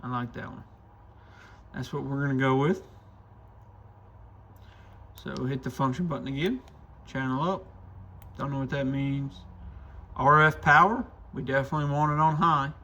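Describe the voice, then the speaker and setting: A man's voice: mid-range voice, fairly even intonation, normal speed, long pauses, bright, forceful tone, muffled recording, slight background noise. A man hosting a casual hands-on product demo, thinking aloud informally as he tinkers. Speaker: radio shop reviewer and video host.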